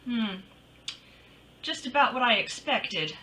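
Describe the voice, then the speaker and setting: Woman acting a bright, swooping lady private eye. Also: a little high, expressive, slow, full character voice. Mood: wry, curious. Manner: breezy, teasing, wry. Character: bold, wisecracking female private detective narrating her case. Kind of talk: old-time radio detective drama acting.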